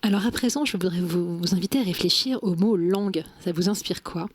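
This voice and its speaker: A woman interviewing a guest on the radio, asking clear, composed questions. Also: fairly low pitch, a little fast.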